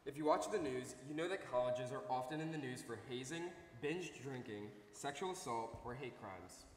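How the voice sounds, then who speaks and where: A man's voice: mid-range voice, normal speed. A young man giving an earnest, declamatory readers theater recitation as a student performer. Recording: echoey hall, audience noise behind.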